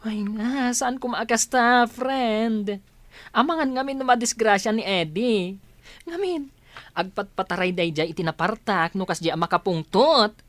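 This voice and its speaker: An actress plays a young Ilocano woman in a radio drama, high-pitched and closely recorded in a dry space. She is an anxious, fretting friend who scolds as she worries. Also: unhurried, no echo, natural voice. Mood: worried. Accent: Filipino, Ilocano.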